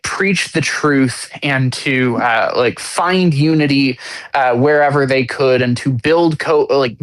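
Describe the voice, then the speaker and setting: Male podcast co-host, hesitant and restart-heavy, blunt humor, mid pitch, dry close sound. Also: fairly bright tone, no echo. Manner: halting, many restarts and fillers; blunt, self-deprecating humor. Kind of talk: casual conversation.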